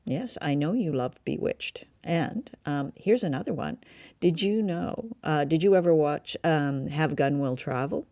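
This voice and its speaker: A woman's voice: deep voice, lively intonation, a little slow, mellow, dry recording, studio quality. A woman co-hosting a review show in casual, informative conversation. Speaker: co-host of an old-time radio review show.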